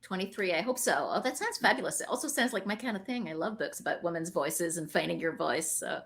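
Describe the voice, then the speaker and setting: A woman's voice: lowish voice, lively intonation, quick pace, creaky, a bit bright. A woman hosting a conversational podcast interview, effusive and encouraging with her guest.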